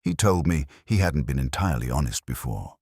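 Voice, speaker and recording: A man playing a brooding, erudite police detective, narrating his case as a measured, melancholy, literary first-person mystery story. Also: rumbling low, expressive, a little mellow, slightly rough, no room sound, clean studio sound, full character voice. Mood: brooding, reflective.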